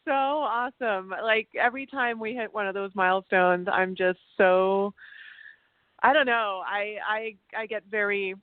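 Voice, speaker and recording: A German-born woman whose first language is German, speaking English without an accent as an interview guest, telling animated stories and restarting her sentences often. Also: medium pitch, unhurried, no room sound. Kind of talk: casual interview chat. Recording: tinny phone-like line.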